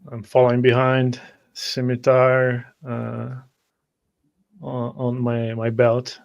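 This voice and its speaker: Male tabletop player, even monotone, mid pitch, halting descriptive narration. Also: creaky. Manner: halting, repeats words.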